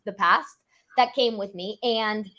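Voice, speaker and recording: A young woman from Europe, a financial planner, chatting quickly and casually with lots of "like" fillers. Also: medium pitch, bright, forceful tone. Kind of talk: casual interview chat.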